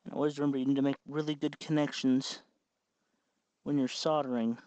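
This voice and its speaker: A man's voice: mid-range voice, little pitch movement, a little fast, darkish tone, no echo. A man hosting a hands-on tutorial, explaining step by step in an informal, casual way. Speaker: tutorial host.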